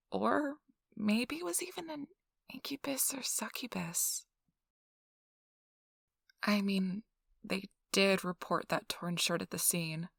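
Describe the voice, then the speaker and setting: A woman's voice: mid-range voice, gravelly, a bit bright, no echo, studio quality. A woman playing a chatty, officious and reassuring interviewer at a vampire blood donation center in an ASMR role-play. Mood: gossipy, defensive.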